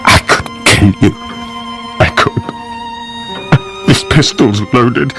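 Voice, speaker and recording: A male actor playing a guilty, disgraced middle-aged nobleman in a radio drama, halting, breathless and desperate. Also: mid-range voice, huge pitch swings, gravelly, over music, full character voice. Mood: stunned disbelief.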